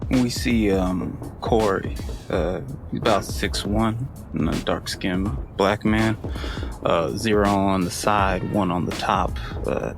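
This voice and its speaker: A man with a lowish voice casually describes his tabletop character at a slow, unhurried pace. Music plays clearly behind him. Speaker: tabletop player.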